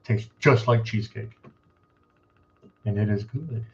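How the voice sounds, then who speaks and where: Man, low voice, casual joking chat, muffled lo-fi audio. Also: a little slow. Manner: joking, easygoing. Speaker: co-host of a live stream. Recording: dull lo-fi stream audio.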